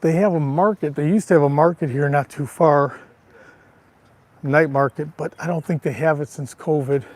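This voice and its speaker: A man with a raspy, allergy-roughened voice chatting casually to viewers while walking outdoors, recorded on a handheld phone. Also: a little high, fairly even intonation, normal speed, a little mellow. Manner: chatty, greets viewers by name. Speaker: livestream vlogger. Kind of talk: casual live walking tour. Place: outdoors on a town street.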